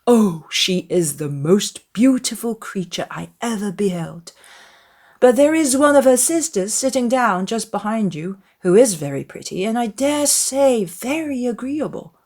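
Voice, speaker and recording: A woman voicing a cheerful, eager young gentleman in a dramatized audiobook, full of enthusiastic exclamations. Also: medium pitch, sing-song, unhurried, breathy edge, pitched above the performer's own voice, heavily acted. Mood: admiring, coaxing.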